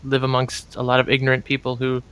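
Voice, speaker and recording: A man's voice: medium pitch, monotone, fast talker, a bit bright, a little hiss or hum. A man in a casual conversation, making earnest, opinionated points and searching for words as he goes.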